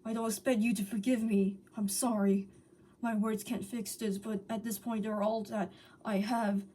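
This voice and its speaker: A woman voicing a remorseful hedgehog villain, a fallen beast confessing in anguish and halting phrases in a dramatic story reading. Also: medium pitch, little pitch movement, warm tone, breathy edge, recorded from a distance, lightly acted. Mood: pleading.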